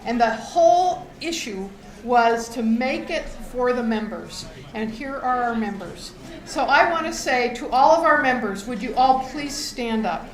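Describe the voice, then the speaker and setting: Woman opening a members meeting, high voice, big echoey hall, crowd murmur behind. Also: expressive, unhurried. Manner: loud, grateful, enthusiastic address to a crowd. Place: event hall with an audience.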